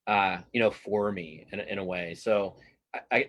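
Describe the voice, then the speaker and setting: A man with a fairly low voice talks casually as an interview guest. His delivery is halting and self-deprecating, and he often doubles back on his words as he works out a thought. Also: a bit bright. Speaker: interview guest, comic strip cartoonist.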